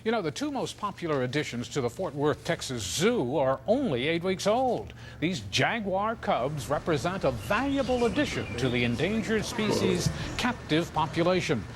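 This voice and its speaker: A man's voice: a little high, big pitch swings, moderate pace, hardly any pauses, background noise. A man with an American accent anchoring a television newscast, reading scripted news.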